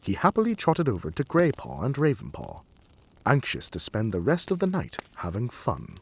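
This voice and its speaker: A man's voice: medium pitch, wildly swooping pitch, normal speed, a little hiss or hum. A man reading a scripted story in a storybook narration style.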